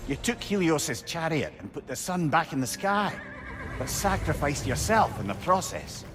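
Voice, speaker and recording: A man's voice: a little high, big pitch swings, normal speed. An actor playing a wry, talkative male sage in a video game, curious and chatty.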